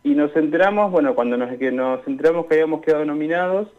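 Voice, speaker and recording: A man's voice: a little high, fast talker. A young Argentine man giving casual, hesitant interview answers as a guest calling in to a radio show. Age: young adult. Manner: hesitant, searching for words. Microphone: remote call to a radio show. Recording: dull, lo-fi call audio.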